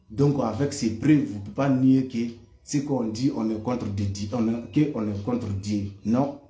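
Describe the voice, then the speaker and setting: A man's voice: medium pitch, dark tone, echoey room. A man delivering an impassioned monologue to his viewers, heated and repetitive, often stammering on repeated words. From West Africa. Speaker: online commentator.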